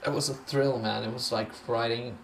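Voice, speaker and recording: Norwegian guy rambling thru an interview, croaky voice, swears a bit, some background noise. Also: medium pitch, steady tone, moderate pace, reverberant. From Norway. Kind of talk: casual rambling interview talk.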